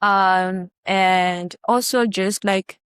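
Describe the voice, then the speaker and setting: A young woman from Zimbabwe, a college student guest, answering interview questions in a relaxed way with frequent fillers. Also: medium pitch, extremely slow, clear, resonant tone, no room sound. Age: young adult. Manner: relaxed, many fillers, laughs lightly.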